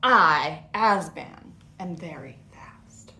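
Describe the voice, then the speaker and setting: A woman voicing a boastful, taunting male trickster raccoon for a children's storybook, loud and teasing. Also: low voice, lively intonation, drawn-out words, roomy sound, heavily acted. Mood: gloating.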